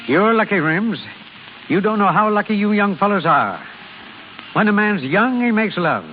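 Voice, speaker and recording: Older father, gentle, wry, wistful, slightly raised pitch, old tinny radio play. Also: expressive, moderate pace, a little hiss or hum. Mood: wistful, reflective. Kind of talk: 1930s-40s radio drama acting.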